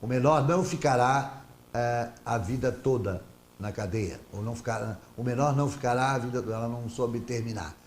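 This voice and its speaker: A man's voice: mid-range voice, moderate pace, roomy sound, a little hiss or hum. A man hosting a TV panel debate, reading viewer messages aloud and pressing his guests with pointed questions. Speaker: TV talk show host. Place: TV studio.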